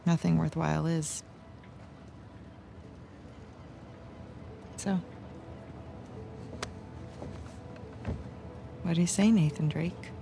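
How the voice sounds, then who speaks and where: A confident middle-aged American wife with an appetite for adventure. Her voice has a pronounced creaky rasp and an assured, teasing conversational delivery, in a story-driven video game with music underneath. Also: deep voice, fairly even intonation, a little fast, warm tone. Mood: warm, assured. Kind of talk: naturalistic video game cutscene acting.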